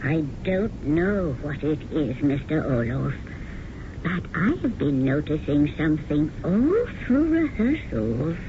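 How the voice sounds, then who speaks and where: A loyal dresser who speaks slowly and soothingly, never hurried. The voice is muffled like an old radio broadcast, with a steady hiss underneath. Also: female voice, low-pitched, exaggerated voice. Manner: soothing, measured. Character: calm, loyal female dresser of an actress. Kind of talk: 1970s radio drama acting.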